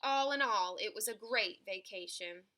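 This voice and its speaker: A woman reading a children's picture book aloud in an expressive storytelling style. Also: mid-range voice, normal speed. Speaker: storybook reader.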